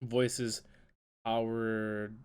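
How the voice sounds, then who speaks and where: A man's voice: on the low side, level tone, very slow, a little mellow, a little hiss or hum. Congested man hosting a podcast. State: congested, stuffy nose. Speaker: podcast host. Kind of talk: casual chat.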